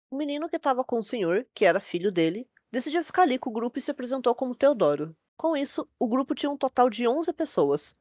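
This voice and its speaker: A woman's voice: fairly high pitch, a little mellow, no room sound, clean studio sound. A young Brazilian woman telling a scary story as host, in casual scripted narration. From Brazil, from a capital city.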